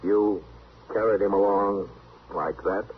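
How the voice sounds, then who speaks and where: An American actor playing a steady, wry frontier town marshal in an old-time radio western, speaking in a measured, dry way. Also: male voice, on the low side. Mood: concerned, puzzled. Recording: tinny, hissy old radio recording.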